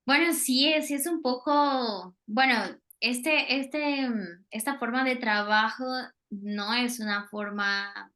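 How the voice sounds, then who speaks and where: A woman's voice: a little high, slow, bright, forceful tone. A Colombian woman, a Spanish teacher, talking casually in an interview over a video call, hesitating with many fillers.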